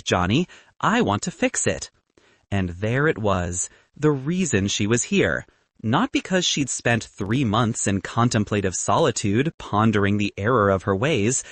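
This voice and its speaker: Wry, animated male audiobook narrator. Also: fairly low pitch, no room sound. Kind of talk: scripted narration of comic fiction.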